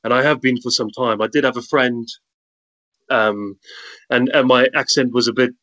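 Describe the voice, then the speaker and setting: A man with a softened South African accent chats easily as an interview guest. His pitch sits right in the middle for a man. He talks in a cheerful, storytelling way. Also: fairly even intonation, normal speed. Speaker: guest, content creator. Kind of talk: casual interview chat.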